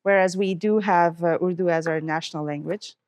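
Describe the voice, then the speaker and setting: A woman's voice: lowish voice, steady tone, fairly quick, slight background noise. A middle-aged woman from Pakistan, a dentist, telling her story in a casual interview while cooking, acting out what people said along the way. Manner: chatty, warm storytelling with quoted asides. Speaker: guest, dentist.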